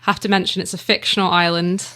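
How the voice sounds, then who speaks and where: Brief: woman writer in an interview, low-ish flat voice, hesitant, chatty. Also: fast. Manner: hesitant, with many ums and restarts. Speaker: guest, audio drama writer. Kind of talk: casual interview chat.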